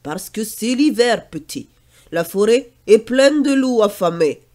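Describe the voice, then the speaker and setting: A woman's voice: a little high, animated, unhurried, warm tone. Woman reading a stern old grandfather. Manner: firm, warning.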